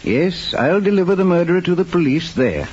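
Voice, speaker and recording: An actor playing a calm, authoritative detective in an old-time radio drama, speaking in a measured, formal, courteous way. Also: male voice, high-pitched. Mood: assured. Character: calm, authoritative male detective, courteous but firm investigator. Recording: muffled, noisy old radio recording.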